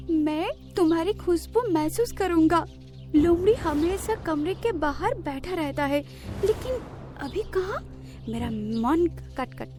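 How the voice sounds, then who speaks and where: A woman's voice: very high-pitched, heavily acted. A woman voicing a small, devoted, anxious mother bird in a cartoon story, earnest and theatrical in delivery. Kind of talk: cartoon moral story voice acting.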